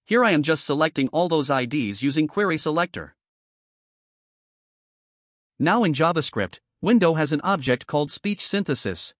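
A man's voice: fairly high pitch, big pitch swings, normal speed, pauses often, a bit bright, no echo, studio quality. A man presenting a programming tutorial in scripted step-by-step narration.

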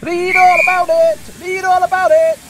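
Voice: extremely high pitch, level tone, moderate pace, a little hiss or hum. An actor playing an insistent newspaper seller at a railway station in a homemade radio play. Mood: urgent calling. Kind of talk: street-cry radio play acting.

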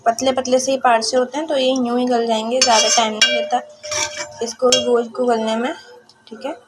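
A woman calmly guides viewers through a recipe step by step in a home kitchen, repeating "okay" and "look here" as she cooks. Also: a little high, fairly quick, very noisy. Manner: homely, repeats "ठीक है" and "ये देखें", explains patiently. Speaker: home cooking channel host.

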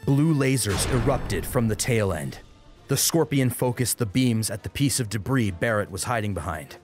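Male narrator, medium pitch, very varied intonation, dramatic scripted action storytelling. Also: expressive, moderate pace, soft background music. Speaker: audiobook narrator.

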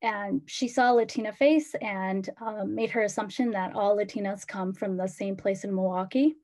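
A teenage woman from Ecuador, a student panelist, giving earnest personal testimony over a video call, with frequent pauses. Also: mid-range voice, a little mellow.

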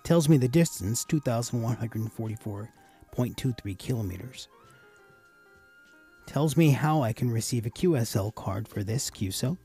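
A man with a gravelly, husky voice giving a casual, explanatory tutorial voice-over as the host of a hobby channel. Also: medium pitch, lively intonation, moderate pace, warm tone, faint music behind. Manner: casual, addresses viewers as guys.

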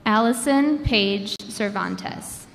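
A woman reading graduates' names one by one at a commencement ceremony, announcing each name with a measured pause through a podium microphone. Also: mid-range voice, gravelly. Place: large indoor gymnasium. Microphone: podium microphone over a PA system. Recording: long hall reverb with crowd noise. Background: crowd ambience.

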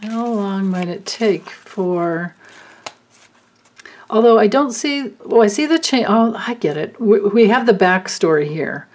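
A woman doing a card reading, thinking aloud with many pauses and fillers. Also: medium pitch, expressive. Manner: slow, musing, thinking aloud. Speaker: card reader. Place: indoors at a table, hands and cards filmed from above.

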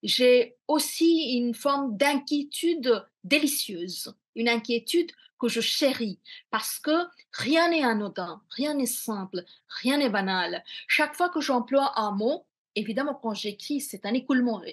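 Husky-voiced Bengali-native woman on a video call. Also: a little high, animated, unhurried. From India. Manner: reflective, many fillers and restarts, gesturing. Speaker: author. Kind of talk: interview answers. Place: small room indoors at home.

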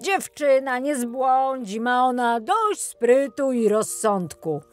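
A firm, reassuring woodcutter father from a storybook, acted out by a woman. The words come slowly and with emphasis, with faint music behind. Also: high-pitched, animated, pitched above the performer's own voice.

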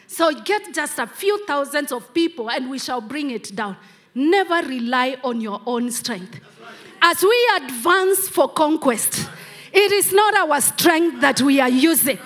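A woman's voice: high-pitched, lively intonation, measured pace, fairly bright tone. A middle-aged Kenyan woman whose first language is Kamba, preaching a sermon from a church stage into a handheld mic, with emphatic repeated phrases. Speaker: pastor. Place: large church hall, on stage.

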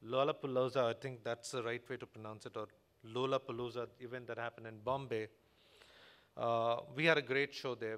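Male corporate executive, Indian accent, mid-pitched voice, formal and measured delivery of a prepared conference address from a podium. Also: fairly bright tone, clean tone. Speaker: corporate executive giving a keynote speech. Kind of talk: prepared conference presentation read from a script. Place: large hotel conference hall, on stage at a podium.

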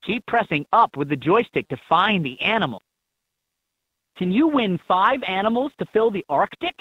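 A woman with a husky voice reading scripted prompts as an encouraging guide in a children's game, on dull toy audio. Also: mid-range voice, lively intonation, normal speed, dry recording.

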